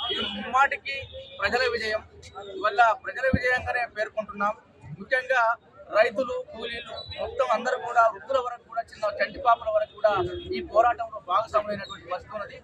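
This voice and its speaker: A man leading a protest, making an emphatic, demanding statement outdoors. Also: very high voice. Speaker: protest leader. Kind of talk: public statement. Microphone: handheld mic. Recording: distant voice amid crowd.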